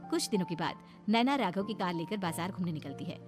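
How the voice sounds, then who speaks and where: A woman's voice: on the low side, animated, fast talker. A woman reading scripted narration for a cartoon story. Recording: music behind the voice.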